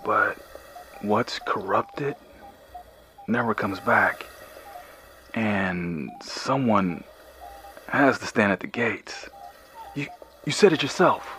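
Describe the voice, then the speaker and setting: A man playing a ruthless, pragmatic facility operative with a gravelly, terse, weary delivery in an audio fiction podcast. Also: fairly low pitch, a bit bright, a little hiss or hum.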